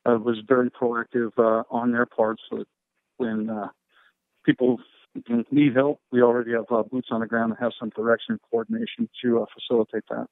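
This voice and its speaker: A man speaking as a fire and rescue official, answering questions on a conference call in measured, procedural language full of operational jargon. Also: mid-range voice, creaky, no echo, phone-quality sound.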